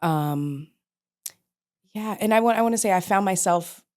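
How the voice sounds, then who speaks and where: A woman's voice: mid-range voice, measured pace, darkish tone. A woman speaking as a podcast guest in a candid personal conversation, informal and reflective in how she talks.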